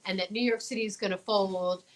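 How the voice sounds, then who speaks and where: A woman's voice: medium pitch, fairly even intonation, brisk. A woman talk show host chatting casually, emphatic and opinionated, often posing questions. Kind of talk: casual chat.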